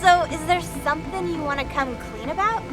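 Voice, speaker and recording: A woman's voice: extremely high pitch, a little fast. A woman voicing a cocky young goddess who taunts her foe in a teasing, laid-back drawl, over music. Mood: mocking.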